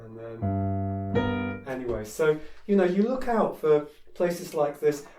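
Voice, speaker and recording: A man's voice: a little high, sing-song, takes it slowly. Chatty man teaching piano in a roomy space. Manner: chatty, frank, sings melodies on "da". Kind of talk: casual piano tutorial, singing passages. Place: room with a piano. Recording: roomy, distant mic, piano playing.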